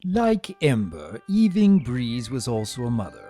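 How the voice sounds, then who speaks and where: A man voicing a vain, spiteful, sarcastic trickster creature trapped as a statue, telling its story with theatrical, sneering, drawn-out emphasis. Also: mid-range voice, huge pitch swings, warm tone. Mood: sarcastic, irritated. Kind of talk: dramatic first-person story reading. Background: music.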